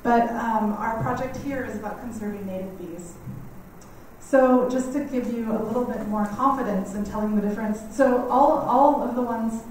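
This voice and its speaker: A woman in her thirties with a quiet, slightly scratchy voice gives a friendly, chatty talk in a lecture hall, laughing often. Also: fairly high pitch, moderate pace. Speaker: guest speaker on native bees. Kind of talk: community lecture. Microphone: standing mic heard from a distance. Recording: echoey hall, mic far off, faint noise.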